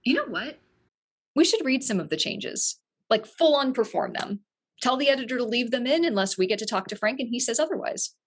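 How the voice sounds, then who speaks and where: A woman speaks as a show's co-host and creator in casual banter about a script. Her voice is high, with very lively intonation. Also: fairly quick, slightly rough.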